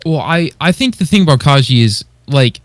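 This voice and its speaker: A man's voice: a little high, lively intonation, normal speed, dry recording. A man co-hosting a podcast in casual chat, hesitantly searching for words as he explains his opinions. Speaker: podcast co-host.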